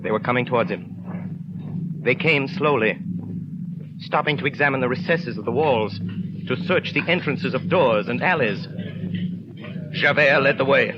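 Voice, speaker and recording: A man giving dramatic, deliberately phrased narration for a 1930s radio drama. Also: mid-range voice. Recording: dull, noisy 1930s radio recording.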